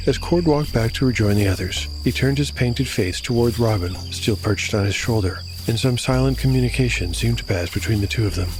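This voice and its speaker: A man narrating a scripted audio drama as game master, with a measured storyteller's delivery. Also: on the low side, noisy background. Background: music.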